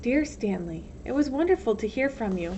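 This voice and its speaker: A woman's voice: fairly high pitch, expressive, moderate pace, a little mellow, lightly acted. A woman reading as an affectionate, hopeful mother in a storybook, tender and encouraging. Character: affectionate, hopeful mother writing to her son. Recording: dull lo-fi sound, faint noise.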